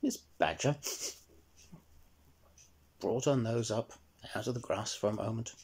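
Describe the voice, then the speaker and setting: A man's voice: lowish voice, measured pace, darkish tone, breathy edge. A man narrating a children's story as a storyteller.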